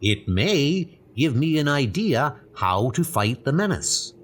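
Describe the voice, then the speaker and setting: A man's voice: mid-range voice, very animated, slow pace, breathy edge, full character voice. A man voicing a brave, earnest, eager young hero in a dramatic comic book audio drama. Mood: earnest, determined.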